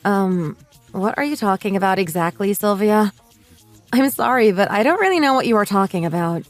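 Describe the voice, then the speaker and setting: A woman's voice: mid-range voice, expressive, normal speed, faint music behind, close to an everyday voice. A woman playing a sensible, put-upon mother in her late thirties in a radio drama, polite but firm. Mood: puzzled, exasperated. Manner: polite, reasonable, mildly exasperated.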